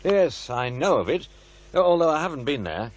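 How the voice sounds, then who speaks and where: An actor playing a dry, skeptical, busy paranormal researcher in naturalistic radio drama dialogue. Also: male voice, fairly high pitch, big pitch swings, moderate pace. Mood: dry skepticism. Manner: dry, questioning, unimpressed.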